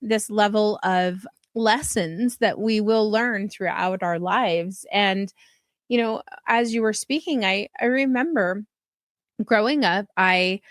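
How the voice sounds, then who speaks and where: A woman's voice: medium pitch, slow, no echo, studio quality. Middle-aged woman host, affirming and chatty. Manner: warm, affirming, laughs often, storytelling. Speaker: podcast host. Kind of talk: casual interview conversation.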